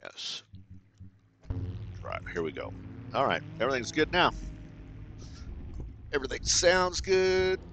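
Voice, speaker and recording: A man's voice: medium pitch, huge pitch swings, slow pace, gravelly, long pauses, fairly bright tone, a little hiss or hum. A man giving casual commentary to viewers while driving a racing sim at home, sometimes mumbling and trailing off as he adjusts settings.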